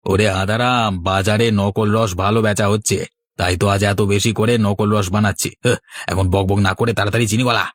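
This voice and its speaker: A man's voice: fairly low pitch, level tone, fairly quick, no echo, studio quality, lightly acted. A man voicing a bossy, crafty swindling market vendor in a cartoon, in a brash, scolding manner. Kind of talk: cartoon voice acting.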